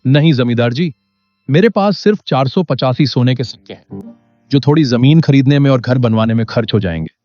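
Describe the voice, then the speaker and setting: A man playing a naive, honest poor farmer in an animated moral story, earnest and deferential. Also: medium pitch, brisk, close to an everyday voice. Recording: dull lo-fi sound under music.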